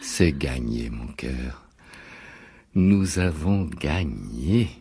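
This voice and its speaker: Husky, scheming stage actor. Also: male voice, very deep voice, expressive, very slow, dark tone, exaggerated voice. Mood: tender, triumphant. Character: Remi, wily, self-important stage actor and scheming husband. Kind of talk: French radio drama acting.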